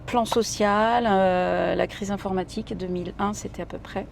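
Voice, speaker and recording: A woman's voice: mid-range voice, fairly even intonation, moderate pace, vocal fry. Talkative woman chatting in a noisy car. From Italy. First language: Italian. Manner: chatty and talkative, many fillers. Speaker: guest singer. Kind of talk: casual interview chat.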